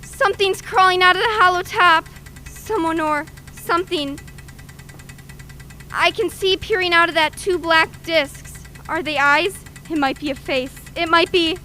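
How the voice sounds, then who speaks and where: A woman playing an eager, brisk radio field reporter in a live news report from a radio play. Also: extremely high pitch, bright, forceful tone, a little hiss or hum, heavily acted. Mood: alarmed, horrified.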